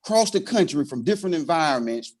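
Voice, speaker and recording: A man's voice: on the high side, animated, fast. A man in casual podcast conversation, slangy and emphatic, repeating phrases to drive his points home.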